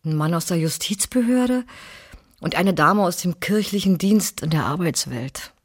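An actress playing a sympathetic colleague who narrates in a musing, poetic way in a radio drama. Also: female voice, on the low side, expressive, normal speed. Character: wistful, sympathetic female colleague and witness narrator. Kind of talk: German radio drama monologue and dialogue.

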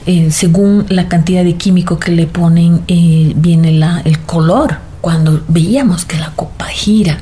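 Mellow, low-voiced Bolivian woman. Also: fairly even intonation, unhurried, few pauses. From Bolivia, mining camps. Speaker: singer-songwriter being interviewed. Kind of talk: reflective conversational interview.

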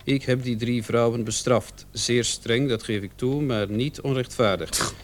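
An actor in a radio drama playing a composed policeman who reads a killer's confession aloud in a measured, deliberate way. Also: mid-range voice, fairly even intonation, lightly acted. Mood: measured, grave. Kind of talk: radio drama acting, reading a letter aloud.

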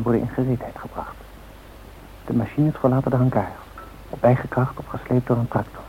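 A man playing the narrator of a radio play, reading the script in a measured, factual way. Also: medium pitch, lightly acted. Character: factual narrator chronicling an air race. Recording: tinny, faintly noisy old radio recording.

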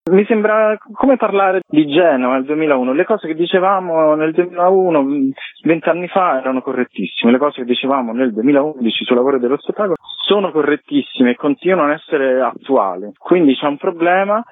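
A man's voice: a little high, animated, fairly quick. Opinionated man down a tinny phone line. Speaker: guest, performing arts activist. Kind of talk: spontaneous interview talk. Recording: narrow phone line, roomy echo.

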